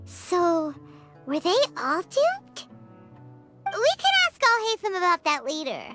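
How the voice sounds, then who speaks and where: A woman's voice: very high voice, big pitch swings, slow pace, music in the background, full character voice. A woman voicing a tiny, cheerful childlike fairy companion with a chirpy manner in an anime game. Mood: curious. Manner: chirpy, speaks of herself in third person.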